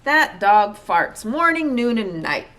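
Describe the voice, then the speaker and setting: A woman's voice: mid-range voice, big pitch swings, slow pace, a bit bright, pitched above the performer's own voice. A woman voicing an exasperated, stern father in a children's story, with emphatic delivery.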